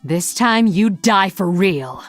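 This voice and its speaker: An actress voicing a proud, sardonic blood elf rogue for a video game, with a haughty, cutting manner. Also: female voice, medium pitch, lively intonation, takes it slowly, soft background music. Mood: scornful, menacing. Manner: haughty, cutting, dry teasing. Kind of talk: video game hero voice lines.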